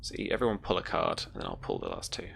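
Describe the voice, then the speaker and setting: A game master running a tabletop roleplaying game, explaining rules step by step in a relaxed, informal way. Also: low voice, fast, gravelly, a little hiss or hum.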